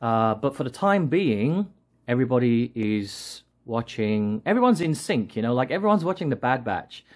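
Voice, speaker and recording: A man's voice: mid-range voice, big pitch swings, moderate pace, darkish tone. Late-forties male vlogger, chatty and jokey, loose rambling delivery with many false starts. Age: 40s. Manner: rambling, jokey, often restarting sentences. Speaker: action figure seller and channel host. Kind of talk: casual vlog rant.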